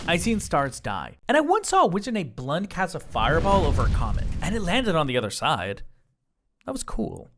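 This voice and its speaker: A performer voicing a flippant, deadpan slime god who drifts through space, narrating an audio drama in the first person in a conversational, boastful way. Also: medium pitch, normal speed, noisy background. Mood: wry, musing. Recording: music bed under the voice.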